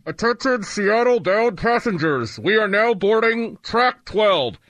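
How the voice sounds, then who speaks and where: An actor playing a 1950s train station announcer in an old-time radio drama, calling boarding in drawn-out, formal phrases. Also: male voice, high-pitched, lively intonation, fairly bright tone, a little hiss or hum. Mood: loud, formal. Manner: drawn-out boarding-call delivery.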